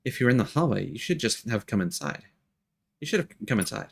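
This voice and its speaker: A man's voice: lowish voice, very animated, fast talker, gravelly, heavily acted. A man voicing a thoughtful, concerned young woman as he reads anime game dialogue aloud. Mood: puzzled.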